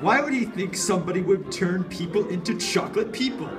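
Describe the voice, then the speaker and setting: A man's voice: sits high, expressive, brisk, distant mic. A man playing a dismissive, matter-of-fact detective with a formal manner in a comic horror story reading. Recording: off-mic with music behind.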